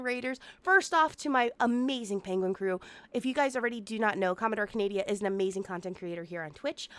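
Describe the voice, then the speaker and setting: A woman streaming a game and chatting casually with her viewers, sounding nasal and sniffly from congestion. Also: mid-range voice. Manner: bubbly, playful, chatty with viewers.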